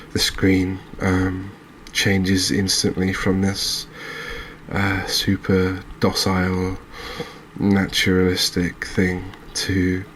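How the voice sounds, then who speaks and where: Low, unhurried, soft-spoken gaming man. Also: slight background noise. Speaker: gaming video creator. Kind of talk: soft-spoken relaxed ASMR game commentary.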